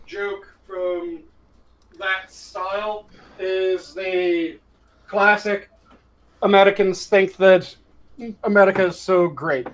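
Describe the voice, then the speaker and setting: A man with a fairly high voice chatting on a podcast. He explains things plainly and delivers jokes as a dry, rambling setup. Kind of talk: casual group chat.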